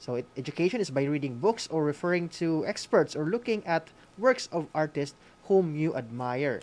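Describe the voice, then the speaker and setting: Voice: medium pitch, lively intonation, normal speed, darkish tone. A speaker with a Visayan Filipino accent co-hosting an educational radio program, talking in a hesitant, conversational way with frequent tag questions and code-switching. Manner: hesitant phrasing, frequent "no?" tags, mixes in Cebuano. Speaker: co-host of a school-on-the-air radio program.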